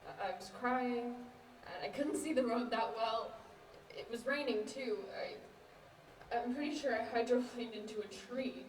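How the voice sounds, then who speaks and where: A young woman acting an earnest, uneasy peacekeeper with halting speech in a stage play. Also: a little high, natural voice. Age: young adult. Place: indoor stage, recorded from afar. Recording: distant echoey stage recording, faint noise.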